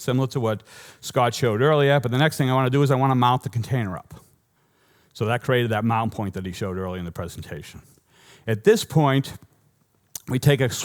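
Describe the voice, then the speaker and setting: Male tech speaker, Boston accent, conversational and wry, mid-range voice, prone to restarts. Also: mid-range voice. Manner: lively, joking, rambling with self-corrections. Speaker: conference speaker. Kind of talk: technical conference talk. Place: conference hall before an audience.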